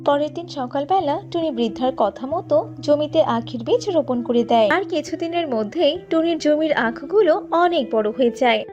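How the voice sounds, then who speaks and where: A woman's voice: high voice, lively intonation, a little fast, few pauses, a little mellow. A woman narrating a scripted cartoon story, with music behind her. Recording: slightly dull audio with music behind.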